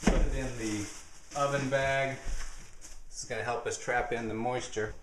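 A man in his thirties hosting a jokey home cooking video in his kitchen, rambling with deadpan asides, recorded on a nearby camera mic. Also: mid-range voice, normal speed, roomy sound, slight background noise, poor audio. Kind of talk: jokey mock cooking show. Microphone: camera mic, handheld or nearby.

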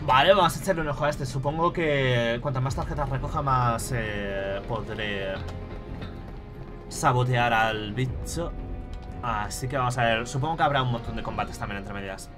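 A man's voice: mid-range voice, moderate pace. Swearing Spanish man streaming a game. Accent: Spain Spanish. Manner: swears freely, muttering to himself while playing. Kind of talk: casual gameplay commentary. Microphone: headset mic. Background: game music.

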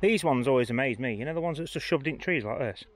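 A man's voice: medium pitch, lively intonation, fast, slight background noise. A man giving casual, informal vlog commentary, thinking aloud as he walks outdoors. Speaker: travel vlogger. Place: outdoors, walking around a village churchyard.

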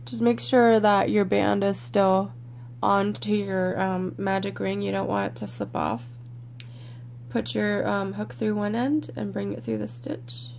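A woman with a croaky, congested voice leads a step-by-step craft tutorial in a relaxed, chatty way, sometimes dropping to a near-whisper as she counts stitches. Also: mid-range voice, a little slow, slight background noise. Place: indoors at a worktable, overhead camera on hands.

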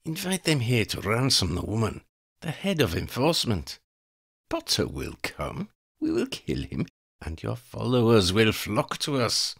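A man's voice: fairly low pitch, huge pitch swings, measured pace, creaky, fairly bright tone, breathy edge, voice pushed up, full character voice. A man voicing a cruel, scheming female vampire in an audiobook reading, icy and imperious. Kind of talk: fan fiction audiobook reading.

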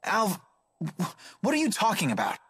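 A gentle, caring teenage boy in a fantasy game, acted by a man. He sounds youthful, earnest and a little hesitant, with a husky grain. The sound is dry. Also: high voice, huge pitch swings, moderate pace, no room sound, heavily acted. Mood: earnest, unsure. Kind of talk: English game voice acting.

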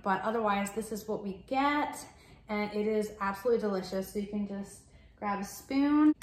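Chatty woman in an echoey home kitchen. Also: mid-range voice. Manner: chatty and upbeat, explaining as she works. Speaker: cooking vlogger. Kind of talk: casual step-by-step cooking demo. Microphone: fixed camera at table distance. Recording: echoey kitchen with faint noise.

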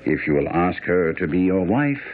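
An actor in a radio drama playing a brilliant gentleman detective, precise, self-assured and dry. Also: low-pitched, sing-song, moderate pace, loud music behind the voice. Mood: calm, dry. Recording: dull, noisy old radio broadcast.

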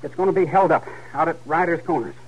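An actor playing a defiant, earnest teenage frontier son with a rural American Western drawl in a 1950s radio western. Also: male voice, on the high side, quick pace, dull audio, close to an everyday voice. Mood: earnest, uneasy. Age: young adult. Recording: old 1950s radio recording.

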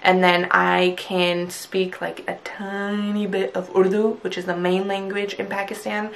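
A woman's voice: mid-range voice, little pitch movement, unhurried, gravelly, slight background noise. A woman in her twenties whose first language is Punjabi, chatting to camera in a small bedroom, gushing and full of "like" fillers. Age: 20s. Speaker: vlogger. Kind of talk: casual chat to camera.

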